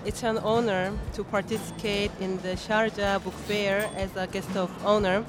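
A woman's voice: medium pitch, level tone. Young Korean-accented woman guest, careful phrasing, live booth with background music. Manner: careful, measured speech with frequent hesitations. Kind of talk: casual interview at a book fair. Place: busy fair hall.

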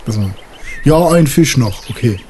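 A man's voice: mid-range voice, animated, a little slow, background noise. A young man improvising a story as a player in a tabletop role-playing game, chatting casually and with slang. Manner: informal, slangy.

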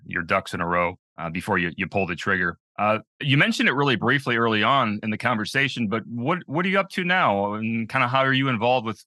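Brief: man, podcast host, measured questions, lowish voice, dry close mic. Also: bright, forceful tone, no room sound. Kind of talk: interview questions.

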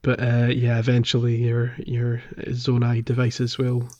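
A man's voice: medium pitch, monotone, unhurried, darkish tone, lo-fi sound. A man hosting a podcast and chatting casually about a video game. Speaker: podcast host. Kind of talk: casual gaming podcast chat.

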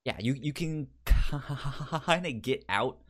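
A man co-hosting a podcast, talking casually and haltingly as he discusses a TV show. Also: medium pitch, a bit bright. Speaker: podcast co-host. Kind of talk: casual conversational TV review.

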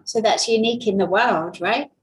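A woman's voice: fairly high pitch, normal speed, slight background noise, poor audio. A woman interviewer asking questions in a friendly, enthusiastic way.

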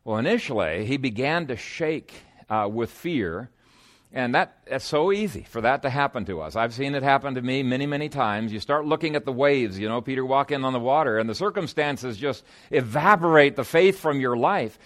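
A man's voice: mid-range voice, lively intonation, moderate pace, a bit bright. A man preaching an expository sermon as a pastor, earnest and emphatic, telling stories and posing rhetorical questions.

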